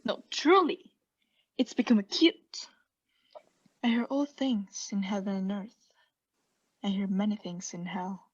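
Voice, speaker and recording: A woman playing a mad condemned murderess in a radio play, intense and halting, often sinking into breathy hushed tones as she insists she is sane. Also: mid-range voice, lo-fi sound. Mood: defensive. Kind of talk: theatrical radio play monologue.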